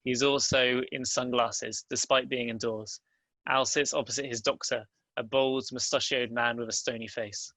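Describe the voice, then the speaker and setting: A man's voice: mid-range voice, steady tone, normal speed, sharp tone, dry recording, low-quality recording. A man narrating stage directions at a script table read.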